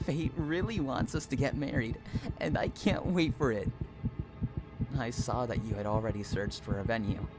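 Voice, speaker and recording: A man playing an obsessive, unhinged stalker and kidnapper in an intimate audio roleplay, taunting and swinging between soft coaxing and menace. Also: medium pitch, moderate pace, loud music behind the voice, lightly acted, low-quality recording. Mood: gleeful, deranged.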